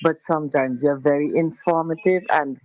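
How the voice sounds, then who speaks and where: A woman's voice: sits low, steady tone, moderate pace, a little mellow, no room sound, low-quality recording. A woman phoning a radio show, chatting in a casual, familiar way. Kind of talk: casual phone-in chat. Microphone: phone call.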